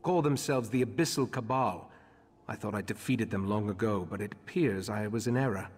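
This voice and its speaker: Solemn mage leader in echoing hall. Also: male voice, fairly low pitch, a little mellow, breathy edge. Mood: grave, pondering. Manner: measured, formal, deliberate. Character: grave, elderly scholarly male leader of a mage order. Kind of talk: scripted video game character dialogue. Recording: long echoing hall reverb.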